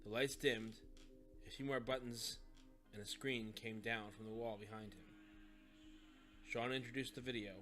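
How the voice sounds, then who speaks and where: A man's voice: medium pitch, steady tone, normal speed, pauses often, fairly bright tone, faint music behind. A man reading scripted story narration for an audio drama.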